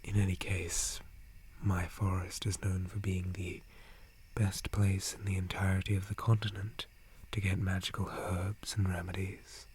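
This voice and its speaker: A man playing a gentle, calm, friendly dryad forest guardian in a breathy ASMR whisper, with a soothing manner. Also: low-pitched, heavily acted. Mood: calm, reflective. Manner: slow, soothing, unhurried. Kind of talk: ASMR roleplay.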